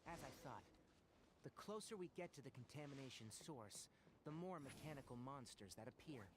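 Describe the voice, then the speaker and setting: An actor playing a calm, earnest young forest ranger in a game, speaking in a steady, instructive way. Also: male voice, medium pitch, normal speed, heavy background noise, lightly acted. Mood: serious. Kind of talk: game dialogue.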